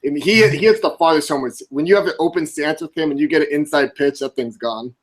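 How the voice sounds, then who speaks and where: A man's voice: a little high, fast talker. A man in his twenties, a blogger guesting on a podcast, talking casually, laughing easily and saying "like" a lot. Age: 20s. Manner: chatty, laughs easily, lots of "like".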